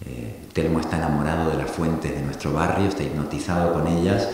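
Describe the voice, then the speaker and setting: A middle-aged man from Argentina giving a reflective public talk, thoughtful and halting, often restarting his sentences. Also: extremely low pitch, steady tone, a little mellow. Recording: echoey hall recording from a distance with faint hiss.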